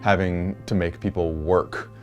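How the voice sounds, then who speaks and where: A deep-voiced man talks through how he builds software, explaining at length and pausing to find the right words mid-sentence. Soft music plays behind him. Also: steady tone. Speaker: software developer. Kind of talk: documentary interview answers.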